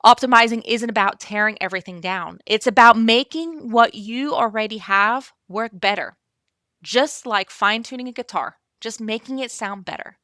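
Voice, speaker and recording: A middle-aged woman, originally from Belgium, hosts a calm podcast with a bright, clear voice pitched a little high. She talks gently and encouragingly, addressing the listener directly. The close mic gives a dry, intimate sound with no room around it. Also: normal speed, no room sound. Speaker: podcast host and operations consultant. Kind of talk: calm scripted podcast monologue.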